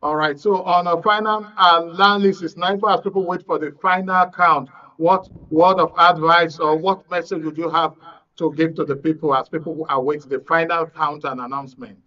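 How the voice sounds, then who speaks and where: Male news host, Nigerian accent, fairly high voice, live interview delivery, slightly muffled sound. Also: steady tone, moderate pace, bright, forceful tone. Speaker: programme host.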